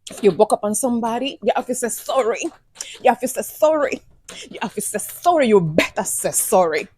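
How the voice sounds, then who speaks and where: A woman's voice: fairly high pitch, expressive, brisk, a little mellow, slightly rough. A middle-aged woman from Cuba with a Jamaican accent, telling a vlog story and repeating phrases for emphasis as she slips into patois.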